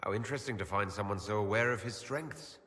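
An actor playing a calm, earnest male elf leader in video game dialogue, measured and dryly understated. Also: on the low side, in a large hall. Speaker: player character leader.

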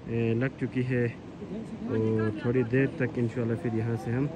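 A man's voice: mid-range voice, level tone, unhurried, crowd noise. A man, vlogging outdoors by a river in an enthusiastic, chatty way, full of fillers. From Pakistan. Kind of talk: outdoor vlog narration.

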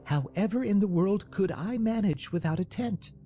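A dainty, fretful young woman of a storybook, as a male narrator puts her on. The pitch is lifted high and swings freely. She exclaims and pleads with melodramatic feeling. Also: expressive, normal speed, a little mellow, slight background noise, lightly acted. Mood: dismayed.

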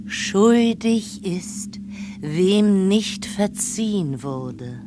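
Getragen sprechende, theatralische Geisterseherin. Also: female voice, mid-range voice, lively intonation, over music. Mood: trance-like, solemn. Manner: slow, halting, breathy séance delivery. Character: theatrical female spirit medium, mystical, dramatically frail. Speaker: actress in an audio drama. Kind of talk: radio play acting.

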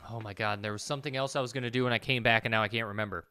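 A man's voice: fairly low pitch, steady tone, racing, bright, forceful tone, slight background noise. A man in his thirties giving casual gameplay commentary, rambling and thinking aloud as he plays. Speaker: let's play host. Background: game music.

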